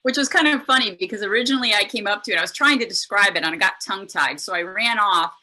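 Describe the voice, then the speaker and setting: A woman hosts a relaxed online talk, speaking with a bright, clear tone. She chats loosely and often circles back with fillers and restarts. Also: mid-range voice, quick pace. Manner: chatty, with many fillers and restarts. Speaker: podcast host. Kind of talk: casual webinar chat.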